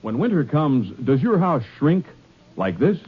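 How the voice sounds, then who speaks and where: A man's voice: fairly high pitch, big pitch swings, a little slow, slightly rough. A man with an American accent announcing a scripted 1950s radio commercial. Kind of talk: 1950s radio advertising read. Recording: tinny, fuzzy 1950s radio recording.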